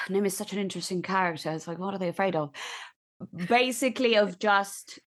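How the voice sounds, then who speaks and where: A woman's voice: medium pitch, moderate pace. A woman playing a tabletop roleplaying game, chatting casually as she works out characters and story. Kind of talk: casual improvised game chat.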